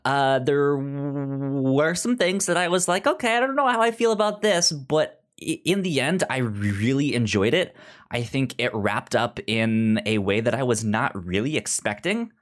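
Podcast host, mid-pitched, for relaxed review discussion; halting speech with repeated, stuttered word openings. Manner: halting, with frequent stuttered repeats of sounds and words.

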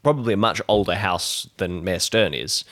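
A man's voice: sits low, moderate pace, fairly bright tone. A man chatting casually as a podcast cast member, jokey and rambling as he talks. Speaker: podcast cast member introducing his character. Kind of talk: casual banter.

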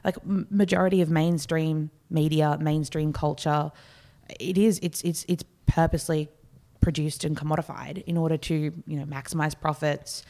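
A woman's voice: sits low, steady tone, normal speed, mellow. A woman from Australia, a podcast guest talking casually and arguing her points with the odd laugh. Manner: articulate, argues points with laughter. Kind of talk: casual conversation.

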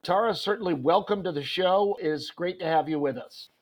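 A man's voice: high voice, fairly quick. A man hosting a sports interview, talking in a rambling way with many fillers and restarts. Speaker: host.

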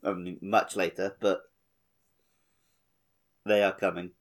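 A man's voice: low-pitched, level tone, slow. A man co-hosting a gaming podcast in casual, joking conversation. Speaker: podcast co-host.

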